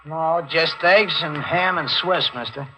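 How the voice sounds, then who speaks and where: Slow, high, nervous diner cook. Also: male voice, bright, forceful tone, poor audio. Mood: wary, reluctant. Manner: hesitant, stammering. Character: nervous male cook at a shabby roadside diner. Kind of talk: old-time radio acting. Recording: vintage radio drama with music.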